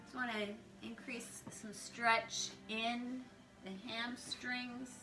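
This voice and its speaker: A woman leading a guided yoga class, giving calm, step-by-step instructions. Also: a little high, takes it slowly. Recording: echoey room with music behind. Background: music.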